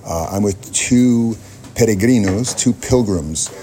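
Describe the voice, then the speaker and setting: A man working as a radio host, interviewing pilgrims outdoors in a small village, asking short questions between many pauses. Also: fairly low pitch, crowd noise. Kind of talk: field interview on a walking trail.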